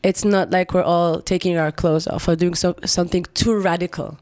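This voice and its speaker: A woman's voice: on the low side, fairly even intonation, normal speed, a little mellow, dry recording, clean studio sound. A woman, a singer-songwriter guest on a podcast, speaking expressively and searching for words. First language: Hebrew. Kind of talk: reflective podcast conversation.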